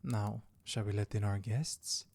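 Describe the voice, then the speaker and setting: A man playing a diplomatic elder of a vampire clan in an audio drama, formal, measured and polite, with a creaky voice. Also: fairly low pitch, fairly even intonation, a little mellow. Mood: courteous, formal. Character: courteous, diplomatic youthful-looking male elder of vampire clan. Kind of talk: audio roleplay drama acting.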